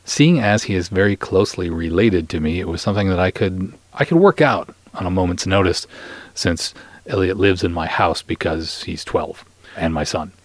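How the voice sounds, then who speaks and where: A man's voice: sits low, expressive, normal speed, no room sound. A middle-aged man hosting a podcast in a casual, playful, teasing manner. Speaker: podcast host and interviewer. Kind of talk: casual hosting and light interview.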